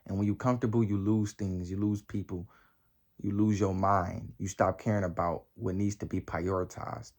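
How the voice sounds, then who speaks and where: A young man of nineteen talking straight to his viewers in a motivational vlog. He hammers the same phrases over and over, full of slang and cursing. His voice jumps up high and gets loud whenever he drives a point home. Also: fairly even intonation, normal speed. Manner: emphatic and repetitive, heavy slang and swearing; voice climbs high and loud when pressing a point. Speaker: motivational vlogger. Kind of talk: motivational talk to camera.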